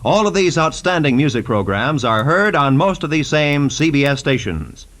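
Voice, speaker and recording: Animated American man, vintage radio announcer. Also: fairly high pitch, normal speed. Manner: polished, formal promotional delivery. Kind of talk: 1940s radio announcing, scripted. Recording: vintage 1940s radio broadcast.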